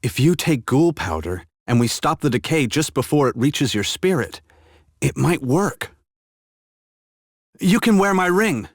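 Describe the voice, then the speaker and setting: A man's voice: fairly high pitch, very animated, unhurried, dry recording, studio quality, exaggerated voice. A man voicing an impulsive but caring young half-elf adventurer in a video game cutscene. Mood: determined.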